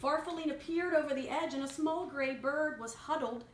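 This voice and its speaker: A woman reading a picture story aloud to a young child, pausing to explain words simply and prompt repetition. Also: high-pitched. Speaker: children's story reader and teacher. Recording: echoey room with clear background noise.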